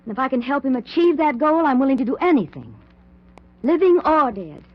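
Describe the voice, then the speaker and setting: Eager, high-pitched devoted wife. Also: female voice, big pitch swings, a little fast. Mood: fervent. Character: devoted scientist's wife, eager, fearless, zealous believer. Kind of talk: 1940s radio drama acting. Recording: dull 1940s radio recording.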